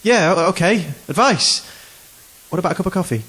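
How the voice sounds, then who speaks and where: A man's voice: sits high, expressive, a little fast, pushed and bright, husky, heavily acted. An actor playing an awkward, eager young man who talks nervously and rambles, in an audio drama.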